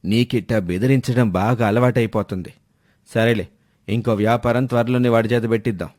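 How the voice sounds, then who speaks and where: A man's voice: mid-range voice, steady tone, brisk, a little mellow, no echo, clean studio sound, close to an everyday voice. A man playing a stern, exasperated but yielding father, reproachful and grumbling, in a moral story. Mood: grudging.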